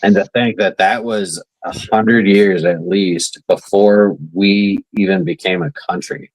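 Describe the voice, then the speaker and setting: Deep-voiced man, relaxed podcast banter. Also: a little slow, no echo, rough recording. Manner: relaxed, argumentative, lots of "like". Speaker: podcast co-host. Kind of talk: casual chat.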